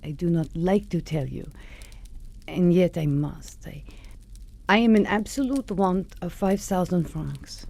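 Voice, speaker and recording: A woman's voice: on the low side, a little slow, gravelly, mellow. An actress playing a genteel, earnest Frenchwoman in a radio drama, with a formal and hesitant manner. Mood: anxious pleading.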